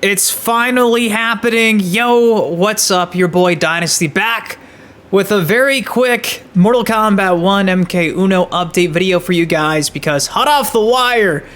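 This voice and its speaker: A man's voice: sits high, unhurried, a bit bright. A man giving casual, hyped gaming news commentary as a channel host, slangy and emphatic.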